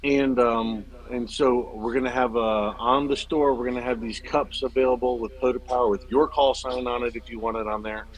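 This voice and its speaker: Male stream guest, medium-pitched voice, enthusiastic and buddy-like, loose conversational delivery. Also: fairly even intonation, moderate pace, few pauses, rough recording. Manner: informal, chummy, enthusiastic. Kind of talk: casual chat.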